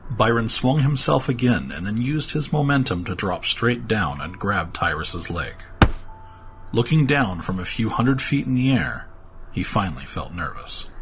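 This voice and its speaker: A man's voice: fairly low pitch, expressive, unhurried, fairly bright tone, slight background noise. A man narrating a scripted fantasy story as its storyteller.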